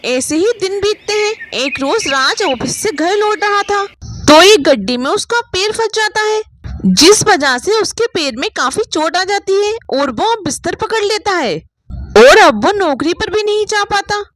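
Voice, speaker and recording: A woman's voice: very high voice, lively intonation, measured pace, a bit bright. A woman storyteller reading scripted moral-story narration.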